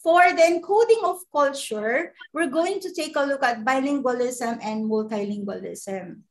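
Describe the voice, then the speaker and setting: High-voiced Filipino woman lecturing. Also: moderate pace. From Philippines. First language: Ilocano. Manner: animated, checks in with "okay?". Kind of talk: guest lecture.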